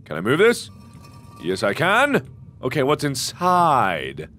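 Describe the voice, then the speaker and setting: A man with a hoarse voice giving jokey let's-play commentary into a headset, with sudden excited yelps. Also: medium pitch, slow, slight background noise. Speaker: gaming video host.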